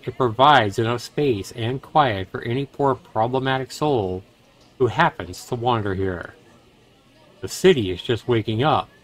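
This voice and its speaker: A man's voice: medium pitch, a bit bright, poor audio. A man livestreaming a game, mixing commentary with dramatic reading aloud as host. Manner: profane, exclamatory reactions.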